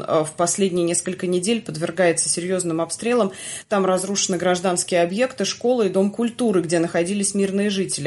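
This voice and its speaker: Woman podcast host doing the daily news rundown, kinda low voice, lots of little "uh"s. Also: darkish tone. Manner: measured, with frequent hesitation sounds. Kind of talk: news podcast chat and news summary.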